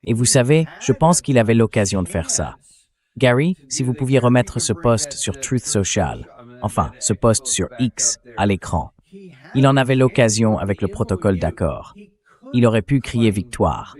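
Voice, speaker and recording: A man's voice: fairly low pitch, animated, moderate pace, a little mellow. A man hosting a political interview show, speaking in a direct, conversational commentary style.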